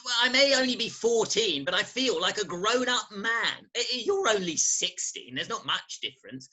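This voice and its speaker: Casting: woman as precocious schoolboy, cheeky, bright animated voice, Victorian stage farce. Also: mid-range voice, moderate pace. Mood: ardent, eager. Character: precocious, cheeky adolescent boy passing for fourteen.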